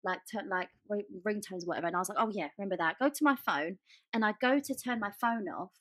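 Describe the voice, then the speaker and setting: A woman's voice: mid-range voice, fast talker, dry recording. Chatty English woman, close dry sound. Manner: animated, chatty storytelling with acted-out quotes. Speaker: podcast co-host. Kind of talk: casual chat.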